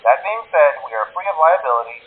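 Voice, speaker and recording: Awkward, lilting phone-message company trainer. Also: male voice, fairly high pitch, lively intonation, quick pace. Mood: awkward, official. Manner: hesitant openers, blandly corporate, matter-of-fact about danger. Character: awkward, upbeat male restaurant training-tape narrator. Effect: telephone filter. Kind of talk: scripted instructional phone message. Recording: tinny narrowband phone line.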